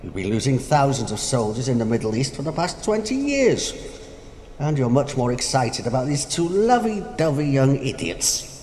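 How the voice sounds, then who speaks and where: Brief: Death personified for audio drama, male actor, ageing, pompous, teasing, very animated delivery, in an echoey hall. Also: medium pitch, moderate pace, natural voice. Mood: sly, mocking. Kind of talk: theatrical audio drama acting. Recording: noisy, echoey hall with long reverb.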